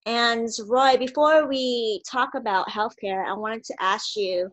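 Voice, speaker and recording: A woman's voice: fairly high pitch, unhurried, rough recording. A woman hosting a podcast, interviewing a guest in a conversational style. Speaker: podcast host.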